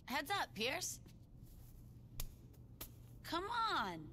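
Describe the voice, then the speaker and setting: Bright, wry gang girl. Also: high-pitched, very animated, drawn-out words, a little hiss or hum, exaggerated voice. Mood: offhand, wry. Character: casual, wry young female gang member. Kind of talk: video game cutscene acting.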